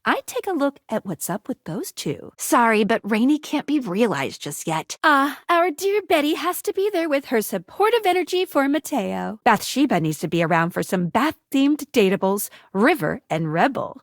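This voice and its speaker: A woman voicing a chipper, helpful young female magical guide in a video game, with a perky, playful and expressive manner. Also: on the high side, normal speed, few pauses, dry recording, studio quality. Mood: helpful, informative. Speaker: voice actor playing a guide character in a dating simulator game. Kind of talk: animated video game character dialogue.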